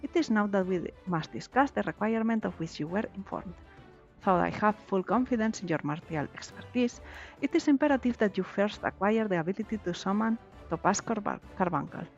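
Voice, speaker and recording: A woman reading aloud the lines of a calm, formal female arcanist officer in a game, in a measured way with small stumbles. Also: mid-range voice, faint music behind, natural voice. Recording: slightly dull lo-fi stream audio.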